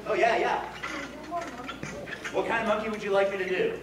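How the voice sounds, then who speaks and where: A middle-aged man giving an excited science talk to schoolchildren, slipping in Spanish phrases and taking their questions. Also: high-pitched, normal speed. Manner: enthusiastic, mixes English with Spanish phrases; walks and gestures in front of the audience. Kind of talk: classroom talk with Q and A for children. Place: large school cafeteria with many children. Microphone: wireless headset or lapel mic. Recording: roomy hall, from a distance, children noisy behind.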